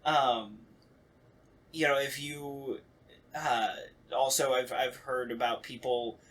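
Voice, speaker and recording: A man's voice: medium pitch, steady tone, slow, a bit bright. A man, a voice actor, chatting casually on a talkback podcast and acting out bits of dialogue as he tells stories. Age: adult. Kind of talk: casual chat.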